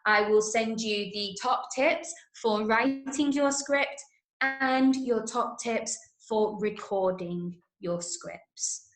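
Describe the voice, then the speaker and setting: An English-accented woman presents a workshop in an instructive, courteous manner. Her voice is slightly high and very unhurried, with a roomy echo around it. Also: a bit bright. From Manchester, UK. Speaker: workshop leader. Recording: roomy, echoing online call sound.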